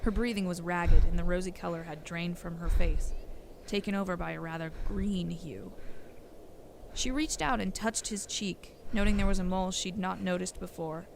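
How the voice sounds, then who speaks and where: A woman narrates a scripted audio story. Her pitch is a bit lower than most women's. Noticeable noise and ambience sit behind her voice. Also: moderate pace. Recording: clear background noise and ambience.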